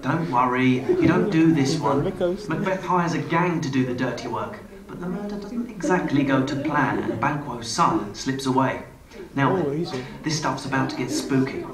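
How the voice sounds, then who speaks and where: A man's voice: medium pitch, moderate pace. A man delivering comic scripted narration in a wry, playful manner. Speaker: comedian and presenter. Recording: roomy, distant playback with loud crowd noise.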